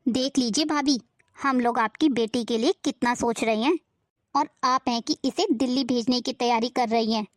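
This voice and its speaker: A woman voicing a middle-aged, scheming aunt who scolds and accuses her family in an animated drama. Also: fairly high pitch, moderate pace, dry recording, studio quality, natural voice. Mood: domineering. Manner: scolding, accusing, sharp-tongued.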